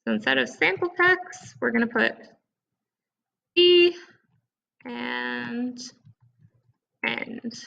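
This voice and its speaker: A woman with heavy vocal fry and a bright, fairly high voice walks through software steps as a tutorial presenter. Her delivery is informal and conversational. The recording is somewhat dull and lo-fi. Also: drawn-out words, pauses often. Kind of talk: casual step-by-step software screencast tutorial. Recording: dull, lo-fi microphone sound.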